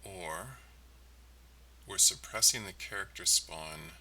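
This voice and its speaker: A man giving casual commentary as he codes on a livestream, thinking aloud in halting phrases. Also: low voice. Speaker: game developer, livestream host. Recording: clearly noisy, distant mic, keyboard clicking. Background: typing, mouse clicks, steady noise.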